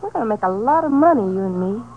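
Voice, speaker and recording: High-voiced, hard-boiled young Southern bandit. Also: female voice, expressive, fast. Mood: scornful, scheming. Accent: Southern American. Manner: tough, bossy, slangy talk. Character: brash, hard-boiled young woman bandit from rural Texas. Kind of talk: 1950s radio drama acting. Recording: noisy, muffled old-time radio recording.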